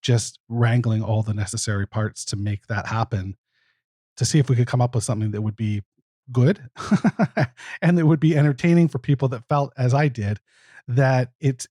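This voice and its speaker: A man speaking as a podcast guest, explaining his project casually and in a thoughtful, measured way. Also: medium pitch, dark tone, no room sound, studio quality. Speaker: podcast guest, producer and narrator. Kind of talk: casual interview answers.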